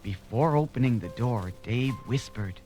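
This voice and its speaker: Animated male storyteller with music behind. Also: mid-range voice, a little slow. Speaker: narrator. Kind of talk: scripted children's read-along storytelling.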